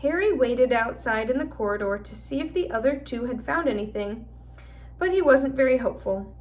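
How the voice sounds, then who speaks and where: A woman's voice: sits high, far from the mic. A woman narrating a children's novel aloud as a teacher would in a storybook read-aloud.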